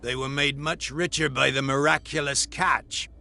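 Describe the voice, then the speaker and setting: A man's voice: medium pitch, fairly even intonation, normal speed, creaky, bright, forceful tone. A man voicing a chatty male tavern townsman who gossips casually.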